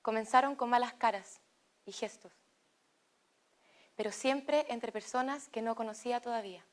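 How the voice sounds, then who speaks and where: A young woman with a Chilean Spanish accent narrates a personal testimony in a slow, deliberate way with pauses. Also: a little high.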